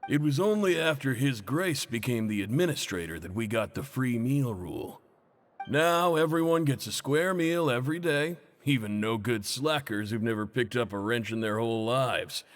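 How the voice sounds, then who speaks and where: Actor as a plain-spoken veteran inmate. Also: male voice, mid-range voice, lively intonation, normal speed, natural voice. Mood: reminiscing. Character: plain-spoken veteran male prison inmate, respectful, opinionated. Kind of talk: video game voice acting.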